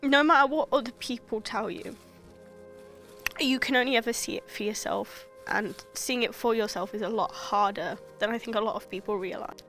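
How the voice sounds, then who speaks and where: A woman's voice: a little high, moderate pace, a bit bright, soft background music. A 22-year-old woman in a documentary interview, talking candidly and getting tearful as she reflects.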